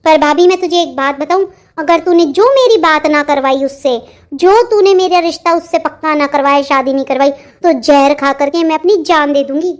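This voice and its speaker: A woman's voice: high-pitched, moderate pace, natural voice. A woman voicing a sulky, defiant young bride in a melodramatic Hindi cartoon, talking back petulantly about her forced marriage. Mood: sulky, upset.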